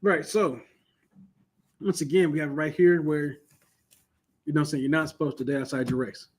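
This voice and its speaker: A man's voice: fairly high pitch, steady tone, normal speed, breathy edge. A man teaching an informal online Bible lesson in a conversational, emphatic way, often asking "you know what I'm saying" and repeating points for stress. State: starting to lose his voice.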